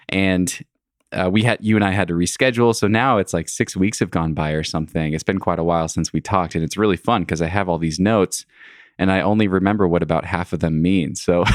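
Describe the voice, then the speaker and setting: A man's voice: sits low, no room sound, clean studio sound. A man hosting a climbing podcast, chatting in a friendly, rambling way with asides. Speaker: podcast host. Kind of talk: casual interview chat.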